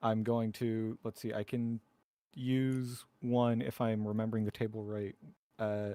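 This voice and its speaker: A man chatting casually as a tabletop game player, thinking aloud deliberately about his moves. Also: on the low side, level tone, dark tone, dry recording.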